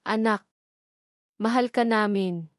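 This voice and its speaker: A woman's voice: mid-range voice, slow pace, no room sound, lightly acted. A woman narrator plays a protective middle-aged mother in an audio love story. She sounds emotional and motherly, recorded close and dry. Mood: concerned, tender.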